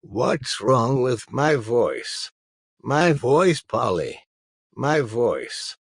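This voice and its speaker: A man plays a cartoon lamppost with the voice of a grumbling old grandpa. The delivery is very slow and drawn out, with a husky, wobbly rasp. The pitch swoops widely as he complains. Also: sing-song, no room sound, clean studio sound, full character voice. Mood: distressed. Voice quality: raspy, wobbly elderly-sounding. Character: singing lamppost whose voice has turned old and grandpa-like.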